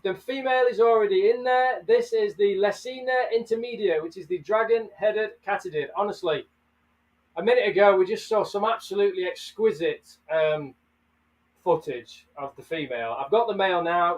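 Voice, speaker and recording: A man's voice: sits high, moderate pace, recorded from a distance, low-quality recording. A man hosting a casual livestream, chatting and greeting viewers by name. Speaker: livestream host. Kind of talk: casual live chat.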